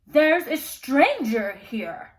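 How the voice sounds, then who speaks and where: A woman voicing a giant, angry male storybook beast, commanding and forbidding, with booming, drawn-out shouts. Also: big pitch swings, heavily acted. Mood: booming, suspicious.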